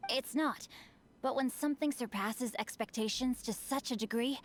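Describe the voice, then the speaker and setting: A woman voicing a refined, bookish teenage boy swordsman who speaks formally and calmly. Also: fairly high pitch, moderate pace, natural voice. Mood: composed. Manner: eloquent, composed, formal.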